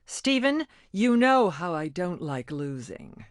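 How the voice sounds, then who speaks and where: A woman's voice: on the low side, big pitch swings, slow, no room sound, clean studio sound. A woman playing a proud, competitive female gem warrior in a cartoon game, with a haughty, theatrical manner. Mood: proud, brisk. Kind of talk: animated game voice acting.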